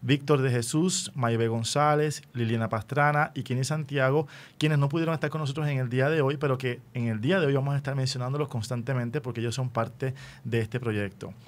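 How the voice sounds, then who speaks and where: A man's voice: medium pitch, fairly even intonation, fairly quick. A man with a Puerto Rican accent, a radio host introducing a program and interviewing guests in a formal, welcoming way. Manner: formal, welcoming address to listeners.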